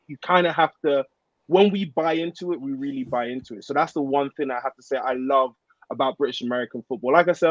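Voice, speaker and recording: A man's voice: medium pitch, dry recording, dull audio. A man of thirty chatting casually as a podcast guest, in an informal, slangy and earnest way. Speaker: guest, American football player.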